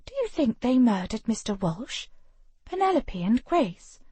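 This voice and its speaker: Brief: a woman voicing a defiant young heroine, higher and insistent. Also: big pitch swings, unhurried, mellow, husky, no room sound, full character voice. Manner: urgent and indignant.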